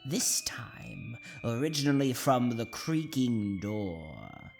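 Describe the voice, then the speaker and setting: A man playing a theatrical, sinister horror host, deliberately narrating a scripted anthology show. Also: mid-range voice, very animated, gravelly, full character voice. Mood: ominous. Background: plucked guitar music.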